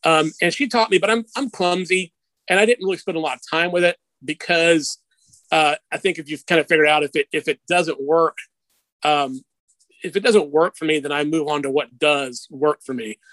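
A middle-aged man with a fairly high voice, recorded close and dry. He talks casually and candidly, like a guest sharing personal anecdotes, with a self-deprecating streak. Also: moderate pace, fairly bright tone, no room sound. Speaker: interview guest. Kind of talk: casual conversational storytelling.

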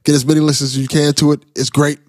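A man's voice: fairly high pitch, flat delivery, quick pace, no echo, studio quality. A man hosting a podcast in casual interview chat, effusive and encouraging toward his guest. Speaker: podcast host.

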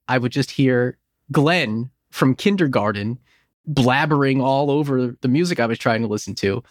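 A man's voice: mid-range voice, dry recording. Dry-sounding man chatting nostalgically. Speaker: podcast host. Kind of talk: nostalgic casual chat. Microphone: close studio microphone in home office.

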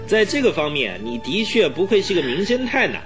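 A gloating murderer in a radio play. His fairly high voice swings widely as he sneers and boasts. He is smug and cruel, with music playing underneath. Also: very animated, fairly quick. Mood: gloating, mocking. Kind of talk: Mandarin radio drama acting.

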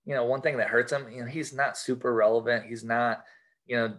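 An American man chatting casually as a podcast guest, talking haltingly and often repeating and rephrasing his phrases. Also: medium pitch, steady tone, fairly bright tone. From United States. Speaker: podcast guest, sports card collector. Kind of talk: casual interview chat.

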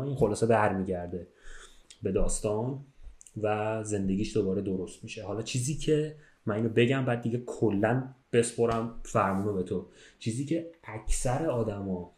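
A low-pitched man chats in a roomy, echoing space. He tells stories in a casual, conversational way, often checking that the listener follows. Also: a little mellow. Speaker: podcast speaker telling a story. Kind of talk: casual chat, storytelling.

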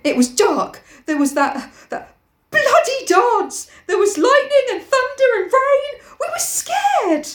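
Woman doing a shrill, panicky boy. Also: sing-song, normal speed, roomy sound. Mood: shrill, defensive. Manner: shrill, insistent, uneducated grammar. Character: anxious, defensive boy, shrill and pleading.

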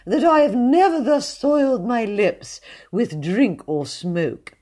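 A woman voicing a pompous, sanctimonious young gentleman poet who talks in an affected, high-pitched voice full of hesitant pauses. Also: sing-song, exaggerated voice.

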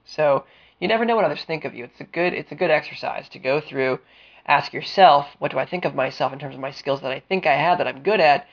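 A man hosting a solo career-advice podcast, smooth-voiced and deliberate, with a cold and a sniffle, explaining with frequent fillers. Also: a little high, dull audio. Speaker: podcast host.

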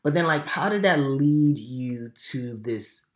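A man from Nigeria hosting a casual interview, relaxed and quick to laugh along. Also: medium pitch. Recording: echoey room.

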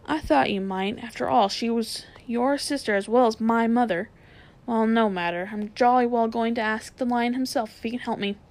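A woman's voice: fairly high pitch, normal speed, darkish tone, lightly acted. A woman voicing an earnest, determined boy. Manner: eager and urgent. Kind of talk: storybook reading aloud.

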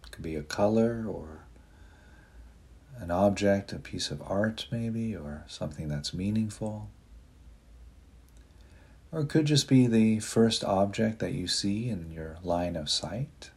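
A man leading a guided meditation in a soft, breathy voice, giving gentle, unhurried instructions with long pauses. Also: fairly low pitch, animated.

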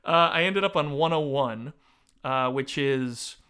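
A man's voice: medium pitch, a little slow, bright, forceful tone, clean tone. A man chatting casually about a book as a podcast co-host, joking and self-deprecating. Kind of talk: casual book discussion with read-aloud passages.

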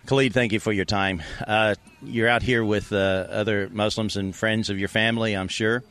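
Low-voiced evangelist man asking probing questions. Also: fairly even intonation, fairly bright tone. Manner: measured, probing questions with occasional "uh". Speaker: evangelist interviewer. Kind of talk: street interview with scripted intro.

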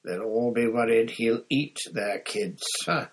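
A man's voice: mid-range voice, measured pace, slightly rough. A man voicing a sneering, smug teenage schoolboy bully, mocking and gloating.

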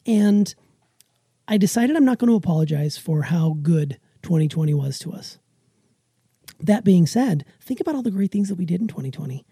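A woman's voice: on the low side, lively intonation, moderate pace, dark tone, no echo, clean studio sound. A middle-aged woman, chatting emphatically and with self-assurance as a podcast co-host. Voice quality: raspy. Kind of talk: casual chat with emphatic opinion.

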